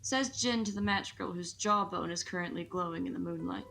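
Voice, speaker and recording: A woman's voice: lowish voice, brisk, creaky. Woman playing a wry, blunt young match girl. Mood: caring, wry. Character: sickly young match girl, wry, big-sisterly, gossipy. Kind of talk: audio drama acting.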